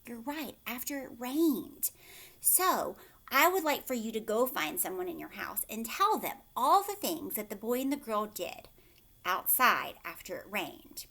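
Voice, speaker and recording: A woman reading a picture book aloud to young children as a teacher, expressively, drawing words out and dropping into hushed asides with questions for her listeners. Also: on the high side, sing-song, a little slow.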